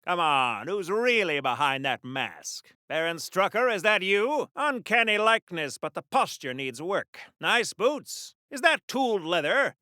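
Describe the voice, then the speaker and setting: An actor playing a cocky, melodramatic patriotic superhero from the 1940s, theatrical and corny like an old radio serial, for a video game. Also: male voice, high-pitched, bright, forceful tone, no echo, clean studio sound, exaggerated voice. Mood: cocky, wisecracking. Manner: theatrical, declamatory, corny wisecracking.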